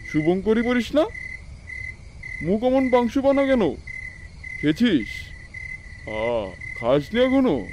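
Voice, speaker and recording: A man playing the ghost of a gruff but kindly old gentleman in an audio story, with old-fashioned, lordly speech. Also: on the high side, a little hiss or hum. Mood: gruff, scolding. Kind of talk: Bengali audio story acting.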